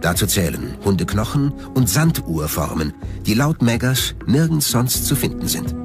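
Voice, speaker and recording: A man narrating a scripted documentary in a measured, factual manner, recorded as a studio voice-over. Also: medium pitch, wildly swooping pitch. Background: background music.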